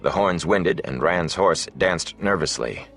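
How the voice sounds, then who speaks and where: Deep-voiced man narrating a fantasy audiobook. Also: animated, unhurried, creaky, fairly bright tone, faint music behind. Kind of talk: scripted fantasy novel narration.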